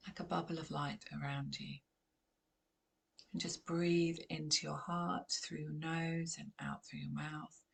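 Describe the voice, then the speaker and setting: Croaky low-voiced woman guiding a meditation. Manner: calm, gentle guiding with soft pauses. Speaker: spiritual channeler and host. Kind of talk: guided meditation with channeling.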